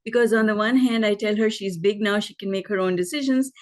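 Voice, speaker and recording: A woman's voice: mid-range voice, fairly even intonation, fairly quick. Middle-aged woman telling her story, laughing easily. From Pakistan. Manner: warm storytelling, laughs often. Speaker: guest, life coach and author. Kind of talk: conversational podcast interview.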